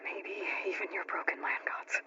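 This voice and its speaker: An actress plays a determined young heroine in a game drama. She is breathy and hushed, yet resolute and matter-of-fact. The sound is slightly dull, with prominent music underneath. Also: on the low side, fairly even intonation, normal speed, in a whisper, close to an everyday voice. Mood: determined, impatient. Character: determined, stubborn young woman adventurer. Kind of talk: naturalistic video game acting. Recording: dull, compressed game audio. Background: prominent background music.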